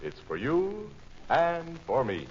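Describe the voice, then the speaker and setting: A man's voice: fairly high pitch, huge pitch swings, takes it slowly, a little mellow, a little hiss or hum. A man announcing a 1940s radio show and its sponsor in a polished, scripted presentational style. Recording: muffled 1940s radio recording over music. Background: music.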